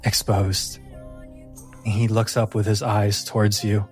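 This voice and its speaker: A man working as game master, narrating a tabletop game in a solemn, deliberate, dramatic way with pauses. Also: fairly low pitch, flat delivery, faint music behind.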